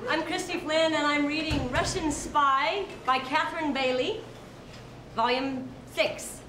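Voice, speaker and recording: A woman reading a literary piece aloud in an expressive recital style. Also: high voice, unhurried. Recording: echoey, distant mic, clear background noise.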